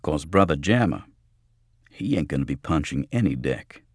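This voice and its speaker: A man's voice: very deep voice, animated, moderate pace, husky, dry recording, clean studio sound, full character voice. A man voicing a grim, streetwise fighter in an audiobook thriller, matter-of-fact and wry.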